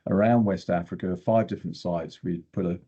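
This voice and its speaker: An older man explains technical matters in an interview with firm opinions. His voice sits low and dark, with a husky grain, and the sound is slightly dull and lo-fi. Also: steady tone, fairly quick. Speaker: guest geochemist.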